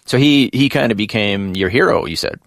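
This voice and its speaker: A man's voice: on the low side, expressive, brisk, no echo. Male interviewer, dry close studio sound. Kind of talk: casual interview chat.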